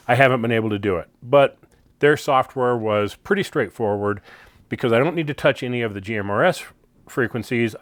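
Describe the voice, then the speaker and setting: An American man reviews gear in a casual, off-the-cuff style. His voice sits at a middle pitch, with very little room sound around it. He is plain-spoken and conversational, leaning on key words for emphasis. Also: no echo. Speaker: product reviewer, channel host.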